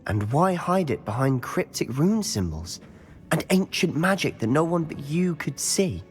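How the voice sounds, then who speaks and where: A man's voice: on the high side, sing-song, measured pace. A man voicing a hot-headed, bitter teenage boy wizard in a video game. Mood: intrigued, baffled. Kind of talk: video game dialogue acting. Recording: long reverberant hall, music behind.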